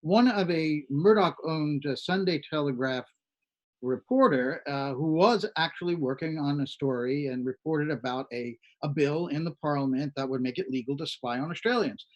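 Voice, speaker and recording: A man's voice: fairly high pitch, moderate pace, highs cut off. A man hosting a news discussion, giving political commentary in a conversational way. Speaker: panel host of an online news show.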